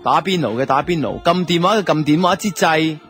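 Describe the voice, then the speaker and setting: A man's voice: fairly high pitch, animated, fairly quick, a bit bright. An actor with a Hong Kong Cantonese accent playing a wry, aggrieved office worker, telling his story in colloquial radio drama narration. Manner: wry, grumbling, conversational. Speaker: narrator and lead character of a radio drama series. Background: background music.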